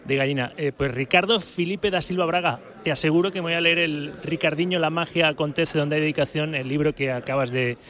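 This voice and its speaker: A man presenting a live radio sports programme, moving things along with brisk, upbeat patter. His voice sits a little high for a man. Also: steady tone, fairly bright tone. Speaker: radio sports show host.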